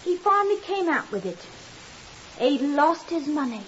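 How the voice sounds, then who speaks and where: A woman's voice: high voice, very animated, normal speed. An actress playing a chatty, flustered landlady, deferential in manner, in a 1950s radio drama. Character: fretful, chatty, deferential landlady lending money to her lodger. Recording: dull, hissy 1950s radio recording.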